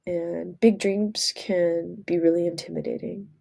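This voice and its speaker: Vlogger, woman, stuffy from a cold, gravelly and husky, earnest rambling delivery. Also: fairly low pitch, steady tone, a little slow, mellow. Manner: rambling, earnest, self-correcting. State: congested from a cold. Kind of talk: casual spiritual vlog monologue.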